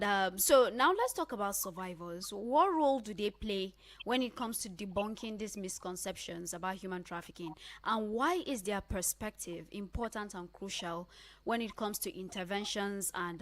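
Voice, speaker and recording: A woman hosting a radio interview in a measured, attentive way. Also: medium pitch. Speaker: radio host.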